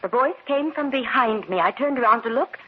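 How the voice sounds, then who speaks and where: Frightened female lead, high register with a raspy edge, breathless old radio melodrama. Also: lively intonation, brisk. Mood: uneasy. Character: frightened young woman in a horror tale. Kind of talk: old radio horror acting. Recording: muffled old radio recording.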